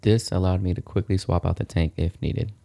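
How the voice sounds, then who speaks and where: A man's voice: sits low, steady tone, fairly quick, gravelly, dark tone. A man in his thirties hosting a DIY video, narrating a how-to in a casual, informal, explanatory way.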